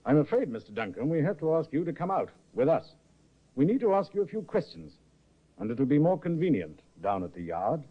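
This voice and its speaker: A brisk police inspector. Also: male voice, a little high, big pitch swings, slight background noise. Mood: firm. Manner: crisp, courteous authority. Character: brisk middle-aged male police inspector. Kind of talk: 1950s radio drama acting. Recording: dull old radio recording.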